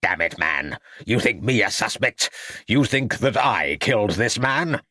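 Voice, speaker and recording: A man's voice: sits low, very animated, moderate pace, dry recording, clean studio sound, exaggerated voice. A man acting out a blustering, furious doctor, snarling. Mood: outraged. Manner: growling, snarling, shouted outbursts. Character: angry blustering male doctor, indignant and threatening. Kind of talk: audiobook character acting.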